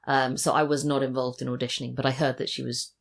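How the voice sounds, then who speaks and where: A woman talks as a guest in a casual chat. Her voice is notably low for a woman. She speaks informally, as in conversation. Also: little pitch movement, quick pace, creaky. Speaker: podcast guest, audio drama writer and voice actor.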